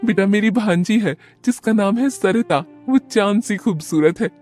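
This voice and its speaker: A fond old grandmother from a storybook cartoon, played by a woman, keen on arranging matches. She speaks with insistent affection, pitched up from plain narration, over music. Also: normal speed, darkish tone, close to an everyday voice. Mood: affectionate, coaxing. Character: kindly elderly grandmother, persuasive busybody matchmaker.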